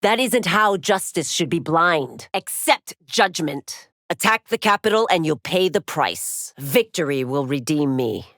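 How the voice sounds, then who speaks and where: A woman's voice: low-pitched, animated, unhurried, hardly any pauses, a bit bright, dry recording, studio quality. An actress playing a stern, dutiful warrior woman and law officer in a fighting game, speaking in formal, commanding, clipped declarations. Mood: stern, challenging. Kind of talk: fighting game character voice lines.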